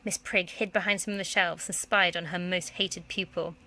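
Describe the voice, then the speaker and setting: A woman's voice: lowish voice, steady tone, normal speed, sharp tone. A woman, a primary school teacher, reading a children's story aloud expressively.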